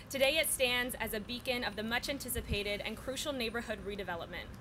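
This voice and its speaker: A young woman, a spokesperson, reading a prepared letter aloud at an outdoor podium in a measured, formal way. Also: a little high, background noise. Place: outdoor press event on a city plaza. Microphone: podium mics from a short distance.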